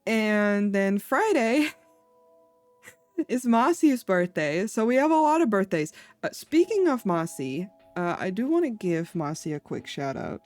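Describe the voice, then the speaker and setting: Slow-talking, congested woman streamer. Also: medium pitch, big pitch swings, darkish tone, no echo, soft background music. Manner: slow, chatty, giggly. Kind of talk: casual chat with viewers. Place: home.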